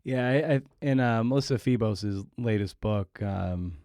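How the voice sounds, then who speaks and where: A man hosting a casual interview podcast, reflective and halting as he thinks aloud. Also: lowish voice, mellow, no room sound, clean studio sound.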